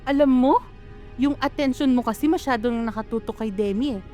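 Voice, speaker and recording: A woman's voice: a little high, fairly quick, warm tone, soft background music, lightly acted. A woman with a Filipino accent playing a worried, nagging mother in an amateur radio drama. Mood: angry scolding. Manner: scolding, exclamatory. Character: worried, nagging, quick-to-scold mother of grown children.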